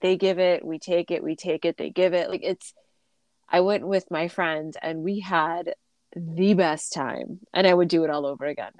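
A woman's voice: on the low side, steady tone, moderate pace, darkish tone, dry recording. Female podcast co-host, casual and chatty, slightly stuffy, dry close recording. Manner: chatty, with frequent fillers and laughter. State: stuffy from a recent illness. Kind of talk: casual chat.

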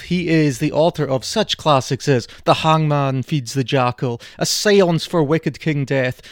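A man's voice: fairly high pitch, no echo, studio quality. A man hosting a podcast, chatting casually and putting questions to his guest. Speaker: podcast host.

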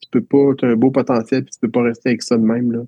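A man in his thirties with a Quebec French accent tells stories from his school years in a relaxed, reflective way, often circling back and restarting his sentences. His voice is mellow and slightly husky. It sounds close and dry, with no room echo. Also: mid-range voice, quick pace, muffled recording. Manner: reflective, storytelling with many restarts. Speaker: teacher and interview guest. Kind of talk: casual interview conversation.

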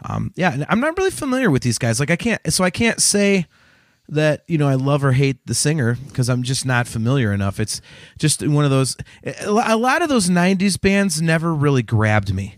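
A man's voice: a little high, sing-song, fairly quick, no room sound, studio quality. A man co-hosting a music podcast, chatting in an informal, conversational way. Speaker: podcast co-host. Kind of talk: casual chat about music albums.

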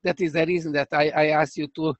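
An older man with a Hungarian accent, speaking as a professor guest in a remote interview, halting and restarting as he searches for words. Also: on the high side, flat delivery, no echo, muffled recording.

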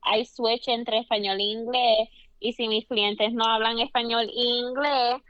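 A woman co-hosting a podcast, lively and switching freely between Spanish and English. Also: on the high side, a little slow, clear, resonant tone, no room sound, lo-fi sound. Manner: lively, code-switching, frequent backchannels. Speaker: podcast co-host. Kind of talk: casual podcast chat.

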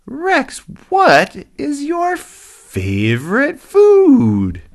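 A man's voice: very high-pitched, wildly swooping pitch, very slow, voice pushed up, heavily acted. A man voicing a relentlessly happy, friendly hippopotamus in a children's story, with exuberant sing-song cheer. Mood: cheerful.

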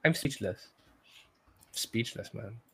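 A man's voice: fairly low pitch, slow, creaky, husky. A man talking informally as a podcast co-host. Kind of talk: casual chat about movies.

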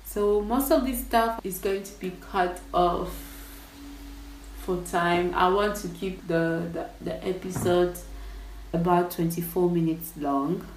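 A woman's voice: lowish voice, steady tone, slow pace, roomy sound, slight background noise, low-quality recording. A young Congolese woman with a French-tinged English accent, a podcast host thinking aloud about her show in a casual, reflective way. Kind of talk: casual behind-the-scenes monologue.

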